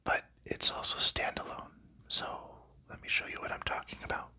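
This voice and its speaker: A man whispering softly through an ASMR board game show-and-tell, unhurried and gentle, with frequent fillers. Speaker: ASMR creator.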